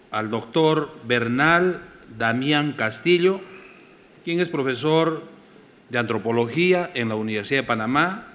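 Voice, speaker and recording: A man moderating a conference panel, giving formal, measured introductions of speakers. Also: medium pitch, fairly bright tone. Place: large conference hall. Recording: reverberant hall with faint noise.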